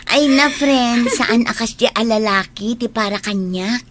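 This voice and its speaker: A woman playing a trusting, stubbornly loyal young woman in love in a radio drama, expressive, with an Ilocano accent. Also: on the high side, measured pace. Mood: earnest, protesting. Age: young adult. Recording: noticeable background noise.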